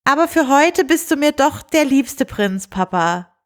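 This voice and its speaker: A woman's voice: high-pitched, animated, measured pace, voice pushed up. A woman voicing a spirited, kind young princess in a storybook reading, earnest and emphatic. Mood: affectionate.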